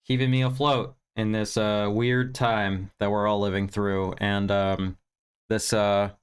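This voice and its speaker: A man's voice: lowish voice, clean tone. A tired American man getting over stuffy sinuses, chatting casually on a livestream in a rambling, candid, self-deprecating way with some swearing. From United States (Virginia). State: tired, recovering from sinus congestion. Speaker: livestream film review host.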